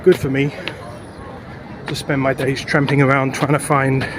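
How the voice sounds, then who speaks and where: A man talks his way along city streets and park paths, rambling and chatty, short of breath from the walk. His voice sits at an ordinary pitch. The outdoor recording has steady traffic and city noise clearly underneath. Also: normal speed. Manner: rambling, chatty, with frequent fillers. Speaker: travel vlogger. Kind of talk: casual walking commentary. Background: traffic and city ambience.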